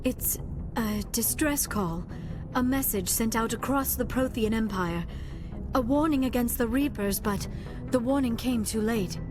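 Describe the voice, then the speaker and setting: An actress playing an earnest alien female researcher in a video game, speaking in a measured, formal way. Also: a little high, natural voice. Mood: grave, urgent. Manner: measured, formal, avoids contractions. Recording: loud music behind the voice.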